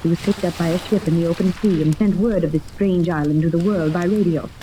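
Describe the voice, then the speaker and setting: A woman's voice: fairly low pitch, fairly even intonation, fast talker, dark tone, natural voice, rough recording. A woman playing a wealthy, protective middle-aged mother with a refined, emphatic, theatrical delivery in 1930s radio serial style. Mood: earnest. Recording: old radio recording with faint noise.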